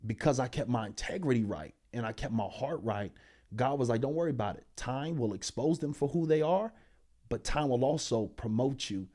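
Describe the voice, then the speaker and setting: A man in his twenties, a pastor and speaker, telling his story as a podcast guest in animated, slangy talk. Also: medium pitch. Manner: animated, slangy storytelling that climbs loud when excited.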